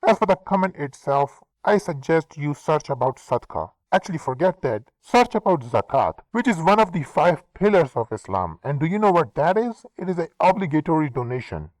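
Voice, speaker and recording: A man's voice: on the high side, sing-song, a little fast, hardly any pauses, darkish tone, no room sound, poor audio. A man from Pakistan with a Pakistani accent, hosting an angry response commentary, sarcastic and swearing often. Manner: heated, sarcastic, swears a lot. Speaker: commentary channel host.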